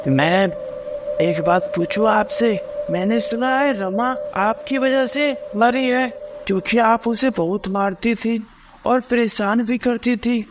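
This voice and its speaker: An inquisitive housemaid, voiced by a man, high-pitched, polite on the surface and accusing underneath. A horror cartoon reading with clear noise behind it. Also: slow pace. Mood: probing.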